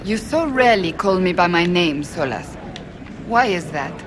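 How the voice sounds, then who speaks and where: An actress playing an earnest, direct warrior seeker in a video game, serious and forthright. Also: female voice, lowish voice, expressive, moderate pace, background noise, natural voice. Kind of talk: dramatic game dialogue.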